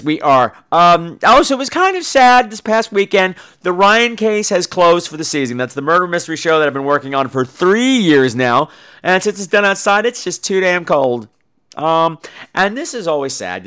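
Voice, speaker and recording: A man's voice: on the high side, very animated, normal speed, fairly bright tone, clean tone. A man hosting a podcast in a casual comedic monologue, with a campy, theatrical and emphatic delivery. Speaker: podcast host.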